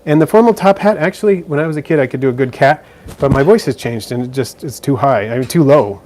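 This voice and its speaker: A man chatting through a relaxed live-stream Q&A from beside his terrarium. His voice sits at an ordinary middle pitch. He talks easily, full of "um"s and little restarts. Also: fast talker, rough recording. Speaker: live stream host answering viewer questions. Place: indoors beside a frog terrarium.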